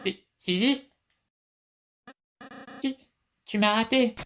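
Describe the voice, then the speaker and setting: Woman playing a slow-spoken, timid little boy prince. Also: fairly high pitch. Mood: worried, earnest. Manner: hesitant, halting, earnest. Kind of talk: dramatic comic-dub game dialogue.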